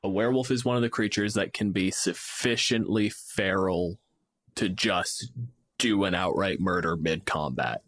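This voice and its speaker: A man's voice: low voice, measured pace, a bit bright. A man in a casual tabletop gaming discussion as co-host, reasoning aloud and acting out short examples. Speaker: podcast co-host and game master.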